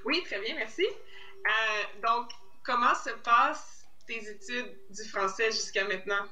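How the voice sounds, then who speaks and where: A woman with a bright, slightly high voice leads an online lesson over a video call. She asks long questions at a brisk clip. Faint background hiss sits under her speech. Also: poor audio. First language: French. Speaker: online French teacher.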